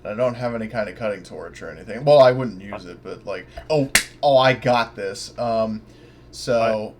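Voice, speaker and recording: A man's voice: lowish voice, moderate pace, noisy background. A man playing a tabletop roleplaying game, chatting casually and thinking aloud as he describes his character's actions.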